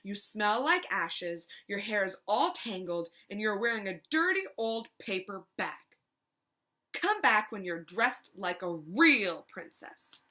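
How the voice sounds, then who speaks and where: Lady as snobby storybook prince, fussy, drags words out, sharp bright voice. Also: medium pitch, sing-song, slow pace, full character voice. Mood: disdainful. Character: snooty, fussy young prince, disdainful.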